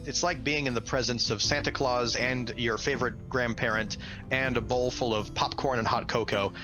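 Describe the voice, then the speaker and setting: Male game master, average pitch, expressive tabletop RPG narration with music underneath. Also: moderate pace, rough recording.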